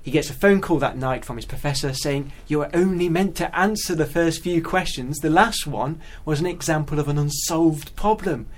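A man with a fairly high-pitched voice shares anecdotes in an informal chat. He is animated, often restarting and repeating words as he works through each tale. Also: normal speed, few pauses. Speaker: guest mathematician. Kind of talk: animated anecdotal storytelling in casual conversation.

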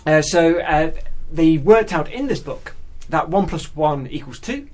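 A man's voice: on the high side, animated, a little slow, a little mellow. A man who is a guest mathematician, explaining things in casual conversation and thinking aloud hesitantly.

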